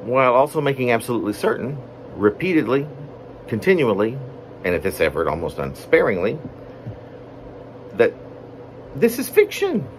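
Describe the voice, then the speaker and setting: A man reading his own poetry as a rambling, wordy monologue, deliberate and pausing mid-phrase. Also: mid-range voice, huge pitch swings, a little hiss or hum, rough recording. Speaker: poet reading own work.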